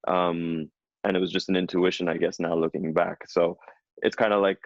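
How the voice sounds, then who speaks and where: Deep-voiced man giving a spiritual reading. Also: little pitch movement, creaky, no room sound. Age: 30s. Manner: slow, soothing, affirming delivery. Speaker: spiritual coach. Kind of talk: guided channeled reading, then casual chat. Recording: dull lo-fi sound.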